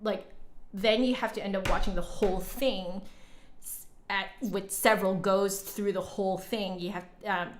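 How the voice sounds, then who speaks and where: A woman's voice: medium pitch, steady tone, normal speed. A woman livestreamer and online teacher chatting casually with viewers in a candid, informal way.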